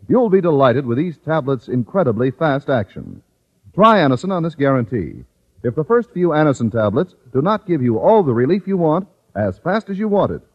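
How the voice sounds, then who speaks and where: A man announcing and pitching for a sponsor in 1950s radio style, emphatic and carefully enunciated. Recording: muffled old-time radio recording.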